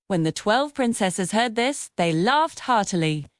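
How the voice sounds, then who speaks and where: A woman's voice: mid-range voice, lively intonation, a little slow, no echo, clean studio sound. A woman reading a fairy tale as a scripted storybook narration.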